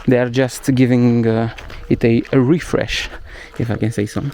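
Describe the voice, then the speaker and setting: A man's voice: mid-range voice, level tone, normal speed, dark tone, a little hiss or hum. A young man with a Romanian accent whose first language is Romanian, chatting casually and hesitantly as he walks through a village and searches for English words. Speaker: travel vlogger. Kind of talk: casual walking vlog narration. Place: outdoors in a village.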